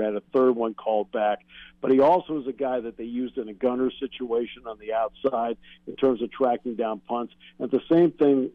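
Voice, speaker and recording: A man's voice: mid-range voice, no echo. Male American sports broadcaster, guest analyst, emphatic explainer, dull remote-line sound. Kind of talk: sports radio interview, analytical answers. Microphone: remote call-in connection. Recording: narrow, dull phone-like call-in line.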